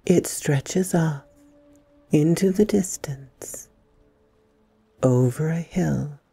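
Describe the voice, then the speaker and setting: A woman hosting a guided meditation, speaking in soothing, deliberate phrases with long pauses and a breathy softness. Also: sits low, no room sound, faint music behind. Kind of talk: slow guided meditation narration.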